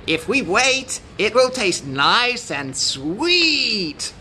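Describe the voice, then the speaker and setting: Patient, sensible goat played by a woman, bright and measured, for a storybook. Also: mid-range voice, sing-song, slight background noise, pitched above the performer's own voice, exaggerated voice. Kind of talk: children's storybook character voice.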